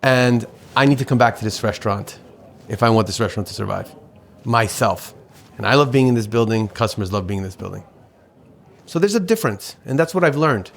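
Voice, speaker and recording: A man's voice: mid-range voice, lively intonation, vocal fry. A man in his forties, a restaurant owner, talking reflectively in an interview, often restarting and repeating words. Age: 40s. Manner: halting, repeats words, philosophical. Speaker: restaurateur guest.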